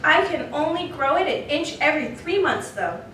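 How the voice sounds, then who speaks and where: A woman's voice: high voice, expressive, pushed and bright, a little hiss or hum. A woman playing a shy, hesitant eight-year-old girl in a live comedy read. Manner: halting, timid, childlike. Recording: very echoey hall, distant mic.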